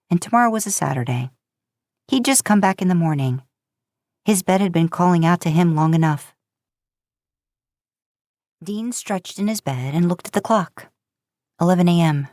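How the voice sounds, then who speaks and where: A woman's voice: on the low side, darkish tone, dry recording, clean studio sound. A woman narrating a story as a podcast host, in audiobook style.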